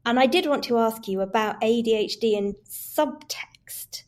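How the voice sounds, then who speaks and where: A woman's voice: fairly high pitch, measured pace, a little mellow. Curious woman interviewer. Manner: curious, reflective questioning. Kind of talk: interview questions.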